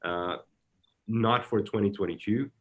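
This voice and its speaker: Brazilian guy, really low voice, keeps going "the, the, the" before he gets it out, chatting in an interview. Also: fairly bright tone, a little hiss or hum. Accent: Brazilian Portuguese. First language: Portuguese. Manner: halting, repeats words often while searching for them. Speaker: soccer technical director. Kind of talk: casual interview answers.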